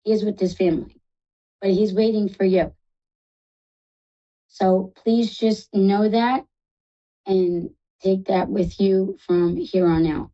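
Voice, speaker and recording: A woman with a New York accent hosting a live psychic call-in show, talking fast and reassuring callers affectionately. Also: medium pitch, steady tone, dull audio. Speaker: psychic radio host.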